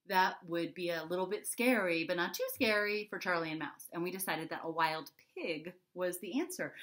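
Animated woman reading to young children. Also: medium pitch. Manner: explains simply, addressing children directly. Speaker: children's author reading own book. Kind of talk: expressive read-aloud and chat for young children. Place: small furnished room at home, indoors.

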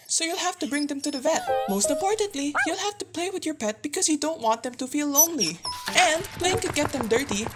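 Cheerful talking puppy guide for a kids' cartoon, woman voice actor; high, very animated delivery. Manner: cheerful, explaining patiently. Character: friendly talking puppy mentor, male. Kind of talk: student animated comic voice acting.

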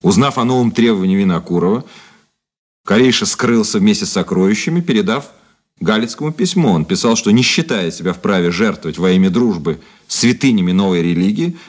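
Male actor as cynical former police officer, low voice, ironic, theatrical, measured, Soviet radio drama. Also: natural voice. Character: cynical, ironic former detective officer and turncoat, grandly mocking.

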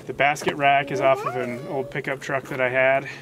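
A man's voice: medium pitch, level tone, normal speed. A man in his thirties casually explaining his own build, recorded outdoors by a camera a few steps away. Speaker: guest showing his trailer build. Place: outdoors in a dirt yard. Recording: loud outdoor noise, off-camera voice.

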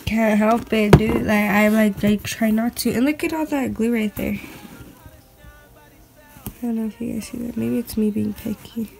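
A woman giving a casual, unscripted product review in a hesitant, halting, informal way, recorded on a handheld device in a bedroom. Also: a little high, fairly even intonation, gravelly, music in the background. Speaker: sneaker reviewer. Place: bedroom at home. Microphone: handheld phone or camera. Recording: clicks and light background noise.

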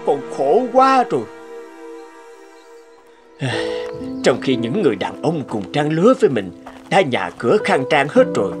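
A harried old family patriarch from a cartoon folktale, exasperated and complaining. His fairly high voice swoops up and down with music behind it. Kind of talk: Vietnamese animated folktale dubbing.

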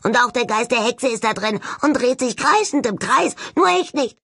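A woman's voice: a little high, expressive, moderate pace, fairly bright tone, husky, dry recording, studio quality, voice pushed up, full character voice. A woman voicing a sullen, sardonic male ghost of a skull in a jar for an audiobook, grumbling and mocking with indignation. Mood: indignant. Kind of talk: audiobook character voice.

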